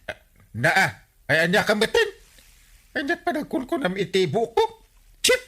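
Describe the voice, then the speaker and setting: Wildly animated, excitable village man. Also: high voice, moderate pace, heavily acted. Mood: alarmed, flustered. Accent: Filipino. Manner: exaggerated exclamations, swooping comic delivery. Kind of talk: broadcast radio comedy drama acting.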